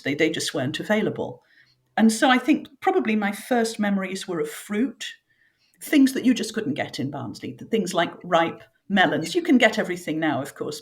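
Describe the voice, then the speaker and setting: A middle-aged woman author from northern England, raised speaking French, telling vivid stories at an easy pace with the odd filler in a relaxed interview. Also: medium pitch. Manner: reflective, vivid storytelling with fillers. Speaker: author guest. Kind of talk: conversational interview.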